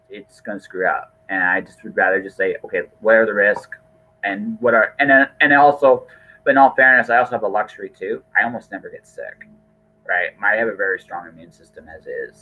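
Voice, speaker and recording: Husky, bright-voiced man chatting casually. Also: lowish voice, a little fast, rough recording. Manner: informal, repeats and rephrases himself. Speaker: podcast host. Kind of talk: casual interview chat.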